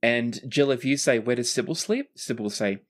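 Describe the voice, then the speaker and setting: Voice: mid-range voice, a little fast. A game master narrating a tabletop roleplaying game in a casual way, with some swearing.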